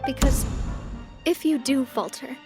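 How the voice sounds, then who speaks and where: A woman playing a resolute, heartfelt young leader in a fantasy game, with earnest, measured dramatic delivery. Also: high-pitched, over music. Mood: grave.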